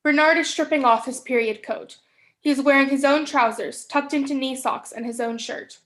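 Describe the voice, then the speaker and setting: Young adult female narrator for a staged play reading; high pitch, scripted stage directions. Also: steady tone, normal speed, a bit bright.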